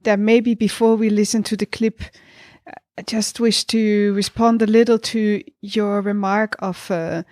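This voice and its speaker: Slow, deliberate woman in discussion. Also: medium pitch, steady tone, darkish tone, dry recording, studio quality. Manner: deliberate, pausing between phrases. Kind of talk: reflective intellectual conversation.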